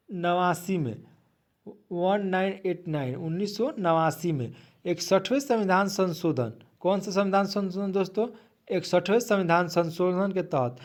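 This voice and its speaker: Higher-pitched man teaching quiz questions. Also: a little mellow. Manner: repetitive, asks then restates answers, addresses listeners as friends. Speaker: teacher reading exam quiz questions and answers. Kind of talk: exam-prep quiz lesson.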